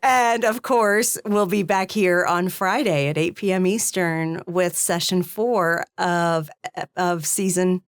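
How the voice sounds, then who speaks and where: A woman with an American accent co-hosting a game stream, talking in a plainspoken, deliberate way. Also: lowish voice, clear, resonant tone, no room sound, studio quality. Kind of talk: casual stream outro talk.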